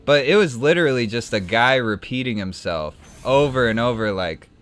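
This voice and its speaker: Young man, casual streamer, smooth clear voice, rambling and self-correcting. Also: mid-range voice, lively intonation, normal speed, a bit bright. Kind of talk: casual gameplay chat and anecdote.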